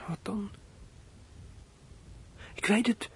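An actor playing a frightened, scheming fourteen-year-old runaway boy in a radio play, husky and thinking aloud in an anxious, halting way. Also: sits high, fairly bright tone, a little hiss or hum, full character voice. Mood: nervous, plotting. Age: teens. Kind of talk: radio play acting, monologue.

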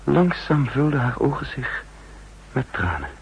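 An actor playing an intense, guilt-ridden double agent who narrates his own downfall in a 1960s Dutch radio drama, with a husky voice and deliberate dramatic delivery. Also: mid-range voice, very animated, exaggerated voice, rough recording. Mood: tense, resigned. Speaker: lead actor and first-person narrator.

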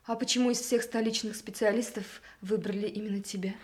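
A woman's voice: medium pitch, steady tone, a little fast, a little mellow, close to an everyday voice. An actress in a radio play, playing a proud, ironic woman who teases her old love. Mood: wry, stung. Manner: ironic, teasing, literate.

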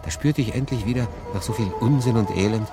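A male actor playing a world-weary refugee in 1940s France, confiding his story in a dramatic monologue with deliberate pauses. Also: mid-range voice, music in the background, lightly acted. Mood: reflective, wistful. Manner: conversational, deliberate pauses, shifting between emphatic and hushed. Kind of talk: dramatic first-person monologue, literary audio drama.

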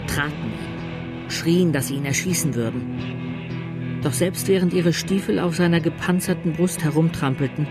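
Ein Mann als Erzähler eines Hörspiels, der dramatisch einen geschriebenen Text in der dritten Person vorträgt. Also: male voice, fairly high pitch, measured pace. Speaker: radio drama narrator. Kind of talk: dramatic scripted third-person narration. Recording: loud plucked guitar music behind.

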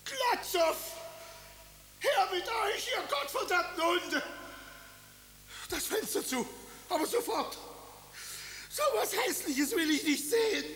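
An actor playing a vain, pompous ruler and father who is easily outraged, blustering in a gravelly voice. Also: very high voice, expressive, slow pace, pauses often, bright, forceful tone, slight background noise, heavily acted. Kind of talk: radio drama acting.

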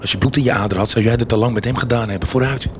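An actor playing a ruthless, contemptuous conspirator in a theatrical radio drama. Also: male voice, medium pitch, steady tone, rapid-fire, noisy background, lightly acted. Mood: threatening, scornful.

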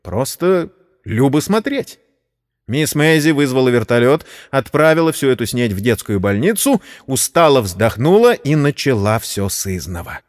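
A man's voice: medium pitch, sing-song, moderate pace, big-room sound. A man narrating a scripted story in a dramatic audio-play style.

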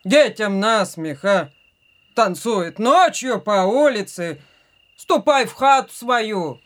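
Nagging, scornful village wife. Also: female voice, mid-range voice, lively intonation, slow. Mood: scornful scolding. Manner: nagging, reproachful exclamations. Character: scolding, scornful village woman berating an old drunkard. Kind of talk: audio drama acting.

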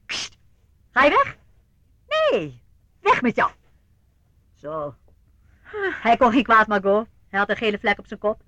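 An actress playing an unflappable older aunt in a radio play, chatty and brisk, reassuring the young visitors she shows around. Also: mid-range voice, big pitch swings, bright, forceful tone, dry recording. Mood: calm, reassuring. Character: aunt showing young visitors round her old estate, unflappable.

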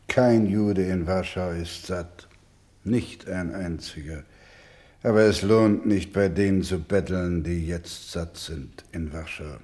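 Radio play doctor,, low-pitched and very measured, careworn, tender, grave. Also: male voice. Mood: resolute, weary. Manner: slow, grave, gentle. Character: weary, tender orphanage doctor caring for starving children. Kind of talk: German radio play acting.

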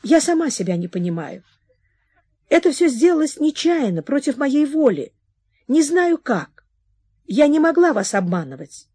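A woman's voice: high-pitched, big pitch swings, moderate pace, mellow, dry recording, studio quality, pitched above the performer's own voice. A woman reading the part of a confused young woman who falters and apologizes in a storybook reading. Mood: apologetic. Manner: hesitant, apologetic.

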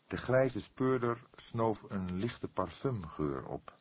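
A man narrating a detective novel as an audiobook in an expressive, scripted reading style. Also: lowish voice, takes it slowly, breathy edge.

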